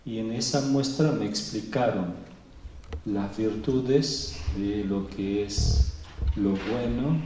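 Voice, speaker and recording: A middle-aged man from Venezuela speaking slowly and thoughtfully at a public talk, pausing often. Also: lowish voice, darkish tone, a little hiss or hum. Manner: slow and thoughtful, with pauses and fillers. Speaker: Orthodox bishop giving a talk. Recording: echoey hall, mic far off.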